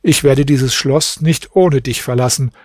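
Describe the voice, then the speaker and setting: Guy reading a steadfast young hero from an old tale, firm and resolute, bit husky, dry close sound. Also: mid-range voice, unhurried, darkish tone, no echo, close to an everyday voice. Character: determined young husband and hero.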